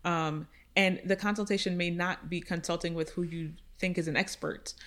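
Earnest lower-voiced woman, podcast chat. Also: steady tone, normal speed, fairly bright tone. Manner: earnest, reflective, uses "right?" often. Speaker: counselor specializing in racial identity. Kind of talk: casual podcast discussion.

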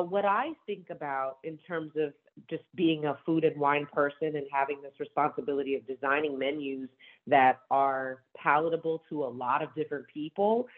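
A woman who runs a wine bar, chatting with enthusiasm and laughing often. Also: low-pitched. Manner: enthusiastic, frequent laughs and asides. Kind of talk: casual conversation. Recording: tinny phone-like sound.

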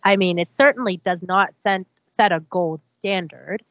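A woman whose mother tongue is Korean talks through ideas in a measured, hedging manner, often pausing to qualify what she says. Her voice sits on the low side for a woman. The recording sounds dull and lo-fi. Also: a bit bright, no echo. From South Korea. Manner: thoughtful, hedging, lots of "I think" and "like". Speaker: podcast co-host. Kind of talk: casual podcast discussion.

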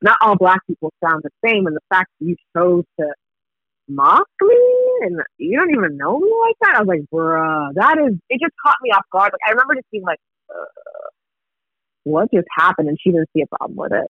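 A man's voice: sits high, huge pitch swings, brisk, no echo, narrow, tinny audio. A Kenyan-born man whose first language is Swahili, telling a story in casual chat with laughter.

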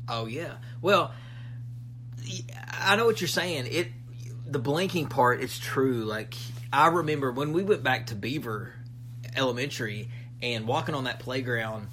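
Guy in his 30s on a podcast, muses out loud, stops and restarts a lot, faint hum behind him. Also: medium pitch. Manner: musing, halting, repeats himself. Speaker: podcast co-host. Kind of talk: casual chat.

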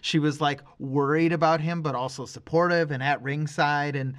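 A man's voice: on the high side, fairly even intonation, moderate pace. A man co-hosting a podcast, discussing film casually, making his points emphatically and explaining as he goes. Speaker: podcast co-host.

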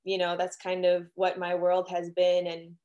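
Young woman from miami, kinda low voice, pretty flat, chatty with lots of ums. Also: normal speed, lo-fi sound. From Miami, Florida. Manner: chatty, many fillers and restarts. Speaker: guest singer-songwriter. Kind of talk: casual interview answers.

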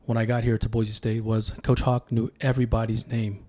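A man's voice: fairly low pitch, flat delivery, brisk, dark tone. A man from Sacramento, California, whose first language was Tongan, talking as a podcast guest in a reflective, storytelling way. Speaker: podcast guest, political candidate. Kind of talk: casual podcast conversation.

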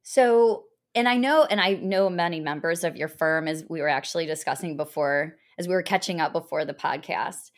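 A woman's voice: deep voice, moderate pace, hardly any pauses. A woman hosting a podcast interview, asking long, searching questions with restarts. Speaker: podcast host.

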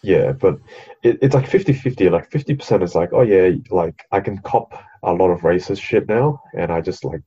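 A man's voice: deep voice, lively intonation, moderate pace, dark tone, husky, lo-fi sound. A young Australian man with an Australian accent, co-hosting a podcast in a laid-back way, with lots of ums and casual swearing. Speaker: podcast co-host. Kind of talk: casual chat.

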